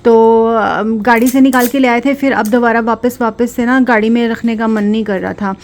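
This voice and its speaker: A woman's voice: a little high, steady tone, normal speed. A woman vlogger talking casually to the camera in a chatty, homely way. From Punjab.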